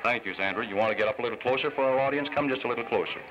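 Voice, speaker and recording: A man's voice: mid-range voice, racing, background noise. A middle-aged American man hosting an outdoor television event, interviewing and announcing in a courteous, formal way. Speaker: television host. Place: outdoor park gathering. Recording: dull 1950s television film soundtrack.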